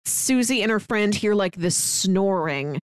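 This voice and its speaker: A woman's voice: mid-range voice, lively intonation, unhurried, clear, resonant tone, dry recording, clean studio sound. A woman co-hosting a podcast, chatting casually about a film with frequent "like" fillers and playful sarcasm. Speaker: podcast co-host. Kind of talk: casual movie discussion.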